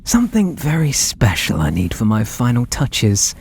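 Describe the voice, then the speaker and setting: A British man voicing an obsessed, possessive artist and menacingly tender lover in a breathy, theatrical ASMR roleplay, drifting into whispers. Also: mid-range voice, wildly swooping pitch, moderate pace, darkish tone. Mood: menacing, teasing. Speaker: audio roleplay voice actor.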